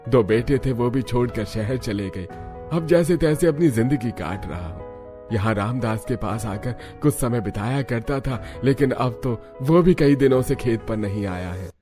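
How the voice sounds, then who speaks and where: A man's voice: medium pitch, animated, moderate pace, music in the background, close to an everyday voice. A man voicing a kindly, lonely old village man in a cartoon story, speaking affectionately. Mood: lonely, resigned. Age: older. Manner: affectionate, addresses young women as daughters. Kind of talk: Hindi cartoon story acting.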